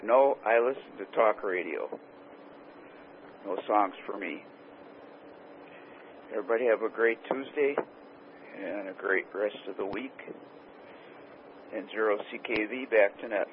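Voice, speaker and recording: A man checking in to a ham radio net, brisk and orderly as he greets everyone in turn. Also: fairly low pitch. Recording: tinny radio transmission with clear hiss.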